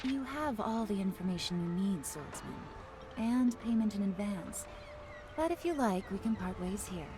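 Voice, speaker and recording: A poised, secretive young traveller voiced by a woman for a video game. She speaks at a middling pitch, coy and sure of herself, over clearly audible music. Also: lively intonation, normal speed, lightly acted. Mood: cool, guarded. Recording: music behind the voice.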